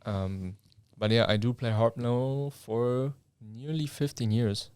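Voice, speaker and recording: A young man from Germany, co-hosting a casual podcast in English with relaxed, wry deadpan humor. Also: medium pitch, slow pace, clean tone, no room sound. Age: young adult. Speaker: podcast co-host. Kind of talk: casual chat. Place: indoor room, seated in front of camera.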